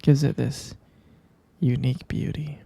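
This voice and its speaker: Man acting as tender boyfriend, ASMR roleplay, very dark mellow tone, slow half-whispered murmur, affectionate. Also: mid-range voice, flat delivery, dry recording, full character voice. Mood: tender, adoring. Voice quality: breathy, husky whisper. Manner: slow, hesitant, affectionate murmuring with pet names. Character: tender, doting vampire-romance boyfriend soothing partner to sleep.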